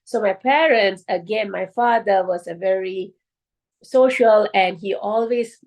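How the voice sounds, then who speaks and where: A woman's voice: mid-range voice, a little slow, a little mellow. A woman from Malaysia, a guest in an interview, telling her story earnestly with frequent fillers and restarts.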